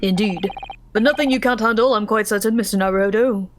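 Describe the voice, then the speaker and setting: A woman's voice: medium pitch, a little fast, background noise, pitched below the performer's own voice, natural voice. Woman putting on a haughty, vain detective. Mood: smooth, lofty. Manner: formal, lofty, dismissive.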